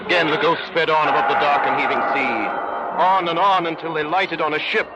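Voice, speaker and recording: A man playing a solemn literary storyteller, narrating a 1930s radio drama in a measured, portentous way. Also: fairly high pitch, steady tone, heavy background noise, lightly acted. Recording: muffled old radio broadcast. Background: loud music.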